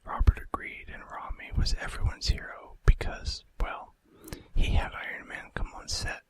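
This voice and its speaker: A man doing an informal ASMR fact video in a breathy, unvoiced whisper with a hesitant delivery. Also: moderate pace, sharp tone. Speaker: ASMR host.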